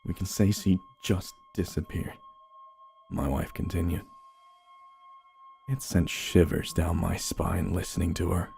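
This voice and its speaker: A man's voice: low-pitched, vocal fry. A man narrating a first-person horror story in a somber, dramatic manner. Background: slow dark music.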